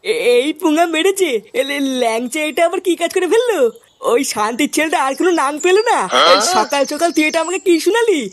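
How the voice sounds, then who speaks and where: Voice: high voice, lively intonation, fast. An actor voicing an irritable, foul-mouthed young neighborhood busybody in a comic cartoon, scolding and exasperated. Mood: stunned. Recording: clearly noisy background with clicks.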